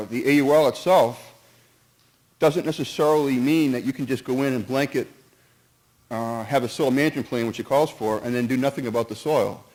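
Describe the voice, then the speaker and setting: Halting man speaking at a meeting. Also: medium pitch, darkish tone, low-quality recording. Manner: halting, restarts and jumps between points; earnest, cautious, hedges with "in my opinion". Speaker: resident speaking at a town meeting. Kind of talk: public comment at a town meeting. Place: town meeting hall.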